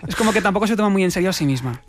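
A young man from Spain, an actor, chatting casually as an interview guest, quick and animated, laughing often and restarting his phrases. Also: sits high, background noise.

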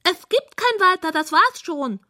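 A woman voicing a vain, lonely, excitable pink pig in a children's bedtime story, squealing and theatrical in a self-important way. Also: very high-pitched, measured pace, voice pushed up, exaggerated voice. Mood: proud, excited.

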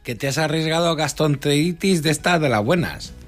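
A man's voice: on the high side, animated, a little fast, faint music behind. A man co-hosting a radio show, chipping in with jocular, casual banter. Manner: jocular, interjecting. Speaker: radio co-host.

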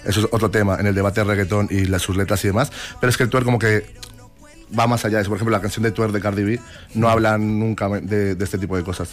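A man's voice: fairly low pitch, little pitch movement, quick pace, faint music behind. A 29-year-old man from Colombia, chatting casually as an interview guest and explaining at length with little checking tags. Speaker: interview guest, twerk dance teacher and academy owner.

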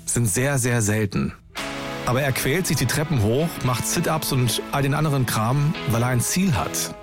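A man hosting a documentary podcast, telling the story in scripted narration and laying out questions one by one. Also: mid-range voice.

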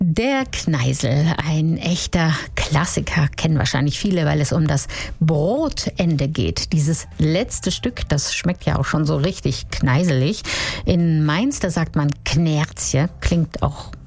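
A woman's voice: deep voice, expressive, slow pace, darkish tone, husky. A woman presenting a radio show in a chatty, upbeat way, speaking straight to her listeners. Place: radio studio.

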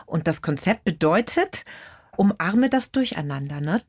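A woman's voice: on the low side, expressive, unhurried, a little mellow, husky, dry recording. A woman hosting and interviewing on the radio, speaking into a close studio microphone. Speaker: radio host.

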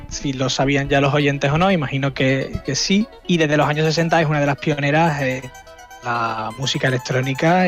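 A man giving an informal, explanatory radio music segment, often pausing mid-phrase and tagging his points for agreement. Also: mid-range voice. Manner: halting, explanatory, seeks agreement with tags. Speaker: radio music section contributor. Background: music playing underneath.

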